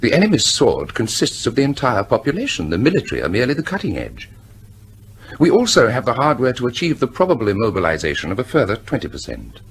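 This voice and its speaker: An actor playing a cold, self-assured weapons scientist with a British accent, speaking precisely and with detachment in a radio drama. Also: male voice, medium pitch, huge pitch swings, fast talker, a little hiss or hum. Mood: cold, defiant.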